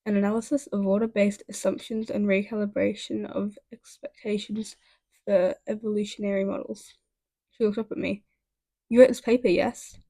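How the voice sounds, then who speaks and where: An authoritative middle-aged businesswoman from a storybook, read out by a woman. She is brisk and matter-of-fact. The voice is mid-pitched and creaky, with a dry close sound. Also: darkish tone, no echo. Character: authoritative mid-forties European businesswoman, brisk task force official.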